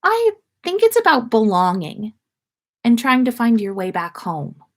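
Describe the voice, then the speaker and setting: Woman as storybook teenage girl, shy, kind, eager, gentle, earnest, raised pitch. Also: wildly swooping pitch, a little slow, heavily acted. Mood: thoughtful.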